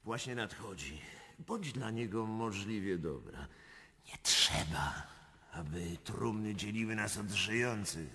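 Slow, bright-voiced courtier. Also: male voice, on the low side, animated, heavily acted. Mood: soothing, pleading. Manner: formal, ornate, measured. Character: flattering, soothing courtier to an empress. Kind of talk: radio drama acting. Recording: long reverb, faint music.

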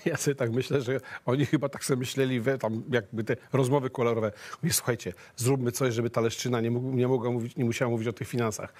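A man's voice: medium pitch, quick pace, few pauses. A middle-aged man co-hosting a satirical politics show in a TV studio, joking and acting out imagined dialogue, on a lapel mic. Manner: jokey, animated, acts out imagined dialogue.

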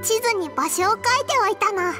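A woman's voice: very high-pitched, fairly quick. A woman voicing a sweet, eager little girl adventurer in an anime-style game, childlike and earnest. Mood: bright, eager. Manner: childlike, earnest, refers to herself by name. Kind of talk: Japanese anime-style game dub acting. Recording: music behind.

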